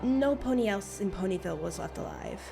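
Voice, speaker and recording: A woman playing a grim, blunt farmer pony in an audio drama, with a brisk manner. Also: medium pitch, huge pitch swings, gravelly, distant mic, music in the background, full character voice. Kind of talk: audio drama character acting.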